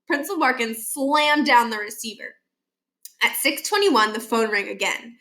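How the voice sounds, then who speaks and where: A woman reading a children's novel aloud as an expressive storybook narrator. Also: on the high side, moderate pace. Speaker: storybook reader.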